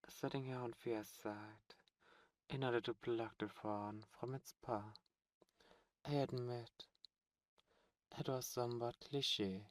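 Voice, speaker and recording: A man's voice: mid-range voice, dry recording, natural voice. A man playing a haughty demon prince in a romantic fantasy ASMR roleplay, speaking formally, flirtatiously and with command. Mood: courtly, musing. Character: haughty, seductive demon prince, courtly and possessive.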